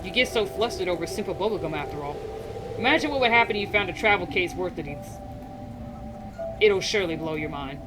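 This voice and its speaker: A woman's voice: on the low side, lively intonation, fast, lightly acted. Woman doing a sly fox-masked girl, mocking, coaxing, raised playful voice, music behind. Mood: teasing. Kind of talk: reading game dialogue aloud.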